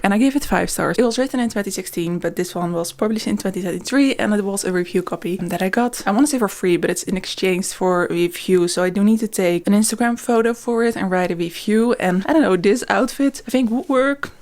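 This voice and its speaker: A woman's voice: medium pitch, fast, hardly any pauses, a little mellow. Female book vlogger, native Dutch speaker, mid-range voice, candid and conversational, casual vlog delivery. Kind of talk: casual chatty reading vlog.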